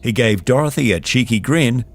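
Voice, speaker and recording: Lively male storyteller with creaky voice. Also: mid-range voice, normal speed. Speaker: narrator. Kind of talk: scripted children's storytelling.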